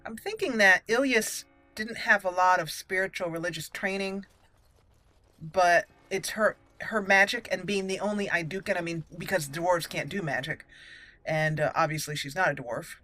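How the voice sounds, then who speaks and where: A woman's voice: on the low side, moderate pace, sharp tone. Woman narrating a video game over music. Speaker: gaming channel host. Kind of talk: roleplay game commentary, narrating a story.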